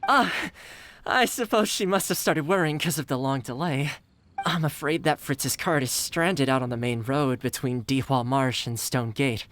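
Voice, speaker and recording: A man's voice: mid-range voice, normal speed, fairly bright tone, natural voice. A man playing a humble, anxious young delivery worker in a video game, talking in a worried everyday way. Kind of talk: game character acting.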